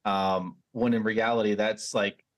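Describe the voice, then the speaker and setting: A man's voice: lowish voice, little pitch movement, measured pace. Reflective male podcast host. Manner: thoughtful, reflective, many restarts and "you know"s. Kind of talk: casual interview chat.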